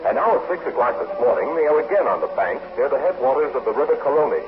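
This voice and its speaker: A man's voice: medium pitch, very animated, rapid-fire. A man narrating a 1940s radio adventure serial in a formal, grand announcer style. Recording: noisy, tinny old radio recording.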